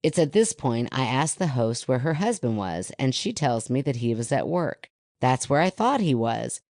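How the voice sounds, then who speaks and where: A woman co-hosts a chatty podcast in a strikingly deep voice that could pass for a man's. She is skeptical and teasing, and swears casually. The sound is dry, with no room around her. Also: sing-song, normal speed, darkish tone, no room sound. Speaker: podcast co-host. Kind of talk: casual banter and reading listener letters.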